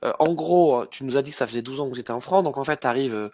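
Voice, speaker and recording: A man's voice: medium pitch, fast, dark tone, dry recording. A man hosting an alumni webinar, speaking hesitantly with many fillers and repeated words. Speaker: webinar host. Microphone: video call.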